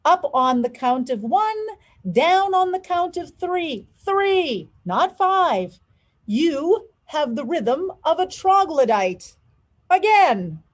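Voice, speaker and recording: A woman's voice: very high-pitched, very animated, slow pace, voice pushed up, heavily acted. A woman playing a strict, emphatic ballet master. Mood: exasperated, scolding. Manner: emphatic, clipped commands. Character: strict, exacting male ballet master, loudly scolding.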